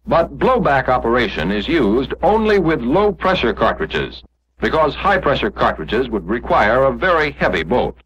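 An American man narrating a scripted 1940s instructional film in a measured, explanatory manner, on an old film soundtrack. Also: mid-range voice, sing-song, a bit bright, dull audio, a little hiss or hum.

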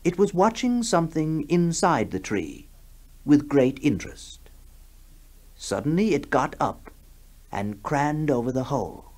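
A man narrating a radio horror story in a deliberate, suspenseful, dramatic style. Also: on the high side, big pitch swings, darkish tone. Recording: faintly noisy 1960s radio broadcast.

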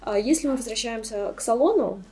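A woman in her thirties from Kyiv, a salon owner, speaking as a guest in a casual interview. She tells her story with animated rises and plenty of filler sounds, recorded on a desk mic in a small sofa studio. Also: on the high side, fairly quick. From Kyiv, Ukraine. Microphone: desk microphone.

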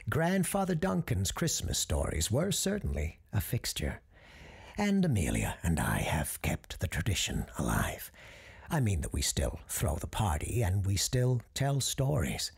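A man performs as a genial parapsychologist hosting an audio drama and telling old family ghost stories. His voice is low and very breathy-rough, with some creak at the edges. He speaks in a measured, genteel storyteller's manner. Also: exaggerated voice. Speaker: host and storyteller of an audio fiction show. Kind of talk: scripted storytelling, radio-show monologue.

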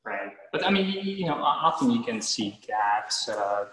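A man's voice: mid-range voice, very animated, fairly bright tone. Czech man, echoey bare room. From Czech Republic. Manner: halting, many fillers and restarts. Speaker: homeowner. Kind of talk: casual chat on a live house tour. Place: large bare room with hard floors, indoors. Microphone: camera a few steps away. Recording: echoey room with long reverb.

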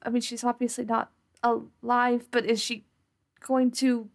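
A woman's voice: a little high, level tone, unhurried, creaky. A woman voicing an anxious young crew member who is eager to help, stammering in a tabletop game. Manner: stammering, repeating words.

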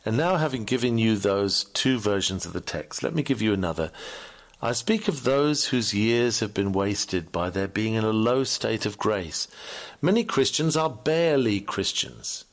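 Male sermon reader, slightly low voice, earnest and formal, with old-fashioned pulpit oratory. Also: lively intonation, normal speed. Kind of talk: scripted sermon reading, preacher-like delivery.

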